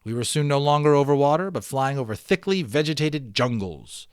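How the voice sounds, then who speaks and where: A man reading a children's story aloud dramatically, with offhand, chatty asides in an expressive manner. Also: mid-range voice, brisk, clear, resonant tone, no room sound, clean studio sound. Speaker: amateur audiobook reader.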